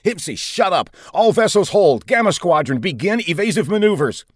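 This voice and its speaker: A tense, commanding starship captain, acted by a male narrator, barking curt orders with authority. His voice is raised and lively, recorded dry for a sci-fi audiobook. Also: on the high side, a little fast, no room sound, voice pushed up, lightly acted. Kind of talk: science fiction audiobook character.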